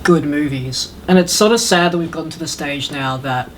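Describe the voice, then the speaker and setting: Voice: medium pitch, moderate pace. A panelist on a gaming podcast talks through opinions in a casual, conversational style. Faint room noise sits behind the voice.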